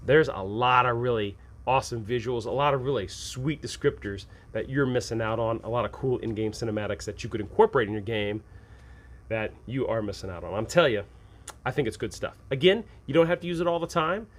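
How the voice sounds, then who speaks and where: A man's voice: medium pitch, fairly quick. An American man giving casual, unscripted advice as a game master show host, in a rambling, conversational way. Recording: clearly noisy ambient background.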